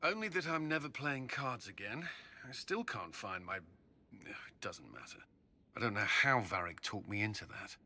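Sheepish soldier with very sharp tone. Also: male voice, on the low side, sing-song, a little slow, full character voice. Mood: embarrassed, grudging. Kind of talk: video game dialogue.

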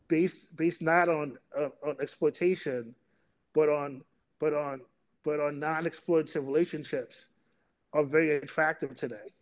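A middle-aged man moderating a panel and introducing its topic and speakers, in a halting delivery that often repeats words. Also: fairly high pitch, steady tone. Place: remote video call.

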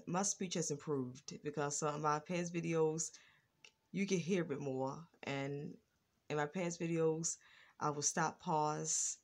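A woman's voice: low-pitched, fairly even intonation, slow. Woman, vlogger, stutters, repeats herself, phone camera. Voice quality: stutters, repeats words and restarts. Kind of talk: casual reaction vlog. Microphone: phone front camera.

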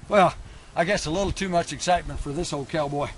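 A man's voice: fairly high pitch, moderate pace, slight background noise, lightly acted, rough recording. A man playing a flustered frontier cowboy who has just become a father. He speaks with a homespun, folksy drawl. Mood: awed. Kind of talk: one-man stage monologue.

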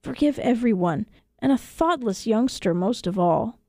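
A woman voicing a kindly, pious old cobbler man who admonishes gently and earnestly in a storybook reading. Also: on the high side, lively intonation, unhurried, no echo, studio quality.

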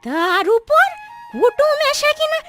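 A woman's voice: very high-pitched, sing-song, slow, exaggerated voice. A woman voicing a worried, grumbling old village grandmother in a cartoon story. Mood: scolding, exasperated. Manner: exclaiming, complaining, fretful. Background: background music.

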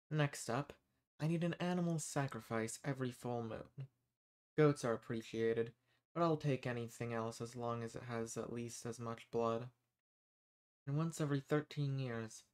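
A man voicing an ancient, dominant archdemon in a scripted roleplay audio, smug and amused, talking down to the listener with drawn-out emphasis. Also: mid-range voice, darkish tone, natural voice. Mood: businesslike, dark.

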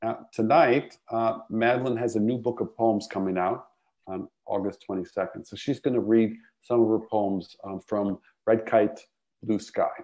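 A man's voice: lowish voice, fairly even intonation, warm tone. Older man introducing a speaker. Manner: earnest, halting, with fillers. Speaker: host introducing the poet. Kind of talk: warm spoken introduction. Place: home room, indoors. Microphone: earbuds on a video call. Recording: dull lo-fi video call audio.

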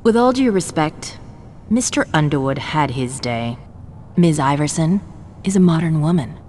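Very low-voiced, poised ambitious woman. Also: animated, moderate pace, a little mellow, long reverb tail, slight background noise. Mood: composed, confident. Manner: polite, composed, self-certain. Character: poised, ambitious young woman researcher among vampires. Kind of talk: video game voice acting.

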